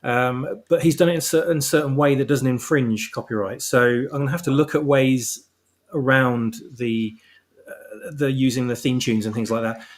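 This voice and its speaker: A man with a medium-pitched voice talks as a guest in an informal conversation. His explanations wander, hesitating and restarting as he goes. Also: steady tone, normal speed. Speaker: guest, fan fiction author. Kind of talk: casual interview chat.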